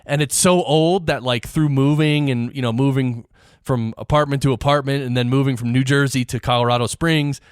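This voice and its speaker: A man's voice: medium pitch, fairly even intonation, normal speed, smooth, no echo. Casual man in a dry room. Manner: informal, rambling, conversational. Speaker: podcast host. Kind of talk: casual interview chat.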